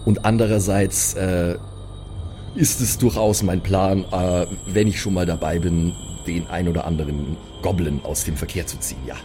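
A man's voice: low voice, steady tone, normal speed, natural voice. A man playing a cautious, deferential envoy of a mages' academy in a tabletop audio game, hesitant and formally courteous. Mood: careful, formal. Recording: faint eerie music under the voice.

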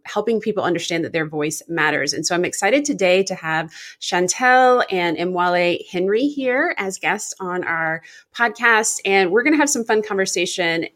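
Brief: upbeat woman podcast host, lower-pitched voice, effusive. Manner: bubbly, effusive. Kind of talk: enthusiastic podcast hosting.

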